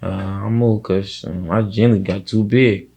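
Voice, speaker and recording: A man's voice: lowish voice, fairly even intonation, normal speed, gravelly, warm tone, slightly rough. A man with a Chicago accent talking casually as an interview guest, very slangy and often checking that the listener follows. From Chicago, United States. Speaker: interview guest, music artist. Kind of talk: casual conversation.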